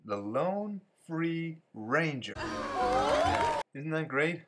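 A man's voice: on the high side, very animated, drawn-out words, very noisy, heavily acted. A man voicing a cocky, breezy American rooster, a wisecracking drifter, in an amateur cartoon fan dub. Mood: cocky, boastful.

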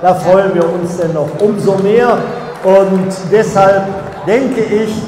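A man's voice: high voice, darkish tone. An older man interviewing a guest on stage in a sports hall, speaking formally into a handheld mic and rallying the audience. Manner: formal, announcing, rallying the crowd. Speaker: host interviewer. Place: large sports hall, indoors. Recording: echoey hall with crowd noise.

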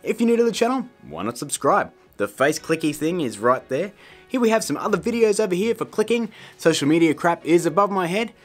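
Casting: male tutorial host, thirties, lively swooping delivery, slightly rough voice, jokey and casual. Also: high voice, brisk, soft background music. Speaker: host of a filmmaking tutorial show. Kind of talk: playful scripted tutorial to camera.

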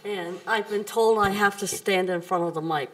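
An older woman addressing a council informally, blunt and folksy, in a halting delivery. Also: mid-range voice. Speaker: community group speaker. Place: council chamber, indoors, seated at a table.

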